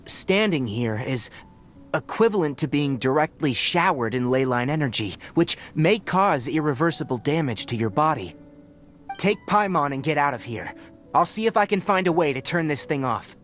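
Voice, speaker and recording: Casting: a studious, serious young ranger for a game. Explains precisely, with animated pitch movement; music behind. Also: male voice, moderate pace. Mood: grave, concerned. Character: young male forest ranger scholar, serious and analytical. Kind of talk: English video game voice acting. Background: video game music.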